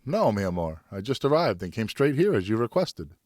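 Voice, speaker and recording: A man's voice: lowish voice, very animated, a little fast, darkish tone, no room sound, clean studio sound, pitched above the performer's own voice. A man playing a weary, conciliatory French nobleman, husband and father in an audiobook, reasoning earnestly and placatingly. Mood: surprised. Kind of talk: audiobook character acting.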